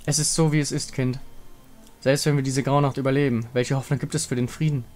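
A man's voice: medium pitch, level tone, brisk, a little mellow, close to an everyday voice. A man voicing a solemn, fatalistic female moon aspect from a fantasy game story. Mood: bleak despair.

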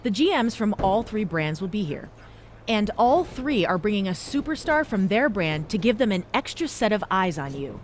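Actress as a brisk female wrestling trainer. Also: mid-range voice, big pitch swings, slight background noise, natural voice. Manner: informative, brisk.

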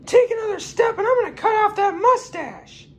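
A man's voice: extremely high pitch, lively intonation, normal speed, a bit bright, voice pushed up. A man voicing a bratty, scheming young boy who whines, wheedles and turns bossy and threatening in a comedy skit.

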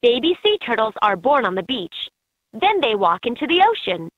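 A woman voicing an encouraging guide in a children's educational game, narrating in a clearly enunciated, instructive way. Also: fairly high pitch, very animated, normal speed, no room sound. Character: encouraging female guide in a kids' animal learning game. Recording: muffled lo-fi handheld game audio clips.

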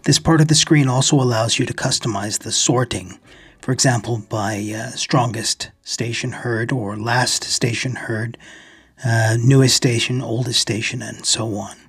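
A man narrating an explanatory screen-recording video as host, in a measured, deliberate manner with a husky, gravelly voice. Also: mid-range voice, steady tone. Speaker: ham radio video host.

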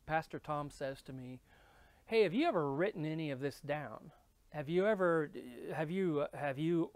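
Higher-voiced man, earnest and conversational. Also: dry recording. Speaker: minister and church leadership speaker. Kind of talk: interview-style storytelling and explaining. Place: indoor studio with dark backdrop. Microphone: lapel.

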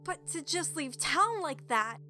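Loyal young village archer, acted by a woman: female, slightly high, self-assured, teasing, audio drama. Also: big pitch swings, unhurried, over music. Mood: concerned, gentle.

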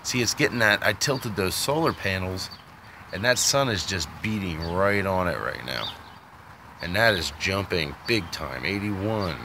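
A man's voice: low voice, lively intonation, unhurried, noisy background. A man vlogging outdoors in a sunny yard, thinking aloud in a rambling, unsure way while filming on a phone. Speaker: DIY vlogger. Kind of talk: casual thinking-aloud commentary.

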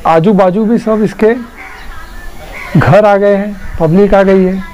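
A man's voice: sits high, fairly even intonation, slow pace, dark tone, a little hiss or hum, low-quality recording. A man, vlogging and explaining in a chatty way on a lapel mic, mixing English words in. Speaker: travel vlogger. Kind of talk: casual vlog narration.

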